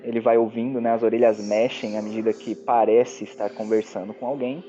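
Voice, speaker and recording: A man's voice: lowish voice, flat delivery, brisk, mellow, highs cut off. A man with a Brazilian accent describing his character's actions as a player in a tabletop game. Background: background music.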